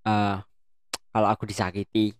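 A man's voice: on the low side, little pitch movement, moderate pace, smooth, no echo, clean studio sound. A young man from Bandung co-hosting a casual chat, playful and slangy, laughing often. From Bandung, Indonesia. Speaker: co-host.

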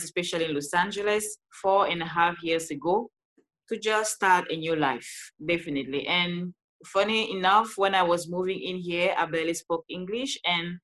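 Lively Cameroonian woman, low voice. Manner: animated, laughs often, restarts sentences. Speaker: guest, life coach. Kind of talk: casual interview storytelling.

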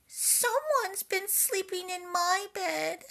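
A woman voicing a small whiny bear cub in a storybook reading, high, loud and drawn out. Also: animated, takes it slowly, creaky, heavily acted. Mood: upset.

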